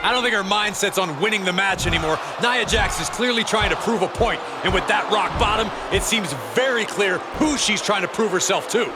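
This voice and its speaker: A man's voice: high-pitched, fairly quick, few pauses, natural voice. A man voicing an earnest wrestling commentator in a video game. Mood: serious, intense. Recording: very loud arena noise.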